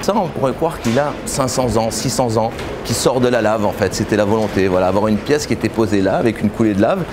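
A man in his forties, an artist, explaining his work on camera at an art fair, pausing often with fillers. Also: fairly low pitch, over music. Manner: hesitant, many fillers and restarts. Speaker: exhibiting artist. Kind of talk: interview answers on camera. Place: art fair stand indoors.

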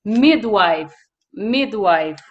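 A woman's voice: medium pitch, lively intonation, drawn-out words, highs cut off. A young woman whose first language is Bulgarian, teaching interpreting terms on a headset video call, repeating each term twice. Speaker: interpreting instructor. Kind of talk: terminology drill on a video call. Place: small room indoors.

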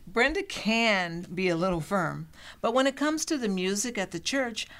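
A woman's voice: medium pitch, animated, moderate pace, fairly bright tone. A woman playing a polite, defensive church member in a training film. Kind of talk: scripted training film dialogue.

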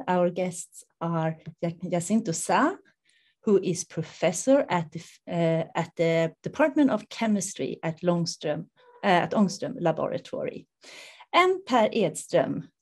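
A middle-aged woman with a Swedish accent, formally introducing an online seminar as its host in a measured way. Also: fairly low pitch, very animated, a little mellow. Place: indoors in front of a dark backdrop, video call.

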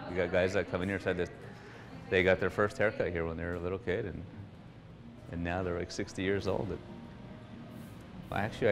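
Low, even-voiced man in a busy room. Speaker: barber at a family shop. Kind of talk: documentary interview, casual recollection. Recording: chatter of a busy room behind.

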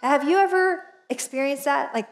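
High-voiced chatty woman pastor in her forties. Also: moderate pace. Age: 40s. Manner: chatty and animated, laughs often, lots of fillers. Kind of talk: casual storytelling sermon. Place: church stage, large indoor auditorium.

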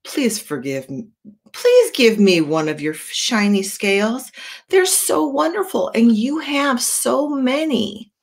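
A small, timid boy fish pleads in a thin, high, drawn-out voice from a storybook, put on by a woman reading aloud. He sounds eager and small. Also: sing-song, heavily acted. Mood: pleading.

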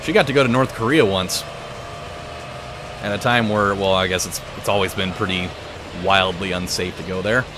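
A man hosting a gaming video, telling stories in a casual, animated way with swearing. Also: deep voice, normal speed, noisy background. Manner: animated storytelling with profanity. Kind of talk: casual chat over a racing game. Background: loud game music and car engines.

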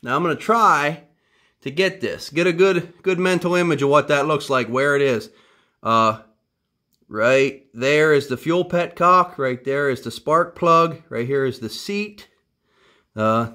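A man's voice: fairly high pitch, a bit bright. A man chatting casually in his garage workshop as he shows off an old three-wheeler, joking at his own expense. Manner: chatty, wry self-deprecating jokes. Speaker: vintage three-wheeler restorer. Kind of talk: casual workshop show-and-tell.

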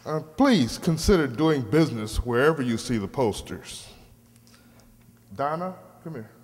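A man's voice: medium pitch, very animated, a little slow. An older man reading a scripted thank-you speech to an audience from a stage. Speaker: program director addressing audience. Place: large hall stage, indoors. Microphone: stand microphone through PA. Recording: reverberant hall.

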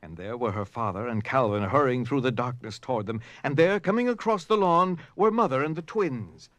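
A man's voice: medium pitch, lively intonation, a little fast, a bit bright. A man narrating an audio drama from a script in a dramatic storytelling style. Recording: noisy ambient sound behind.

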